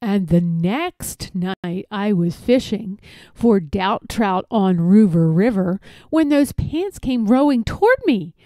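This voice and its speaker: A woman reading rhyming children's stories aloud in an expressive, theatrical storytelling manner. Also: medium pitch, slow, no room sound. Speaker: storybook reader.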